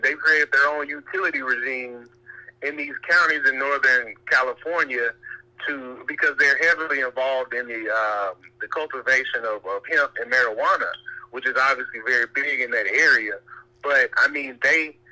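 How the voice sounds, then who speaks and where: A man calling in to share opinions conversationally, halting and circling back to rephrase his points, over a telephone-like line. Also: mid-range voice, pushed and bright. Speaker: caller.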